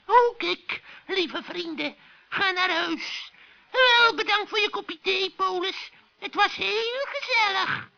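A man's voice: very high-pitched, takes it slowly, gravelly, bright, forceful tone, voice pushed up, heavily acted. A man voicing a sly old female forest witch in a children's radio play, theatrical and suspicious. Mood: polite farewell. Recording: dull, lo-fi old recording.

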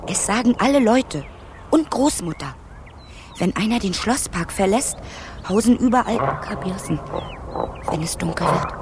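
An actress playing a brave, earnest fifteen-year-old princess in a fairy-tale radio play, speaking plainly in storybook phrases. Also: female voice, mid-range voice, animated, a little slow, long pauses, background noise, close to an everyday voice. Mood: fearful. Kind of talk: German radio play acting.

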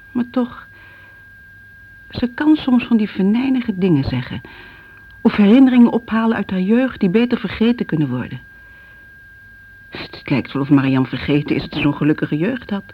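A woman's voice: lowish voice, slight background noise, lightly acted. An actress playing an older mother in a radio drama, fretful and judging, confiding her family worries in a Netherlands Dutch accent. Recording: dull radio recording, faint music.

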